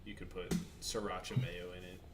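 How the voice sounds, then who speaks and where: A man's voice: deep voice, level tone, fairly quick. A man co-hosting a casual podcast, laid-back and laughing easily as he reads out viewer questions. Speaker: podcast co-host. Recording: distant mic with loud noise.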